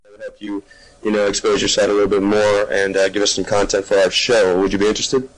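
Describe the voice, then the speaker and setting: A man's voice: fairly low pitch, little pitch movement, quick pace, low-quality recording. A man hosting an internet radio show, putting questions to a guest in an informal, jokey manner.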